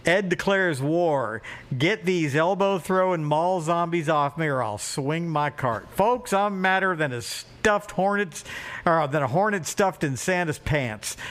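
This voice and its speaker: A man's voice: on the high side, fairly bright tone. A middle-aged man chatting casually as a podcast co-host and reading out silly items in an amused, irreverent way. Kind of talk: casual phone chat, reading tabloid items aloud for laughs.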